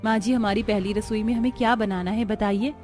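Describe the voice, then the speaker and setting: A woman's voice: medium pitch, steady tone, fairly quick, a little mellow, soft background music, lightly acted. A woman playing a meek, respectful young village daughter-in-law in a cartoon family drama, speaking politely and pleadingly. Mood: obedient.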